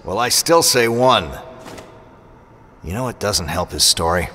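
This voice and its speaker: A man's voice: fairly low pitch, expressive, unhurried, sharp tone, long reverb tail, slight background noise. An actor playing a roguish, sarcastic assassin mercenary who teases his way through an audio drama. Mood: suspicious. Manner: sarcastic, teasing. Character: sardonic, roguish male assassin mercenary, mock-wounded and teasing.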